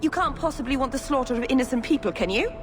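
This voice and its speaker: An actress playing an earnest, gentle-hearted young woman companion in a fantasy game. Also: high-pitched, expressive, quick pace. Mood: appalled. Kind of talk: fantasy video game voice acting.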